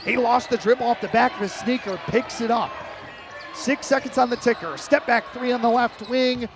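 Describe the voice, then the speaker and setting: A male radio play-by-play announcer with a high-pitched voice calls a live basketball game. He describes the action in short, clipped, rapid-fire phrases, following the ball from spot to spot. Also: steady tone.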